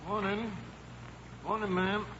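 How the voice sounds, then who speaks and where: An actor playing a plain, folksy small-town locksmith in a radio drama, with a rustic, apologetic manner. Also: male voice, high-pitched, little pitch movement, slow, fairly bright tone. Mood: friendly. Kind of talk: 1940s radio drama acting. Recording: scratchy, muffled old radio broadcast.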